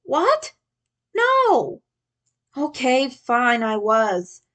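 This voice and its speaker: A woman's voice: sits high, wildly swooping pitch, very slow, a bit bright, full character voice. A woman reading the part of an earnest, sheepish teenage male turtle leader in a fan story. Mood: flustered.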